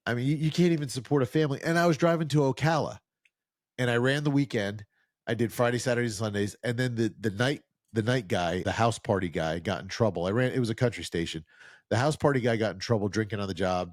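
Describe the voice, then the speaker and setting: A man's voice: on the low side, no echo, studio quality. A middle-aged man from New Jersey, a podcast co-host telling long, self-mocking stories in casual chat and quoting people in put-on voices.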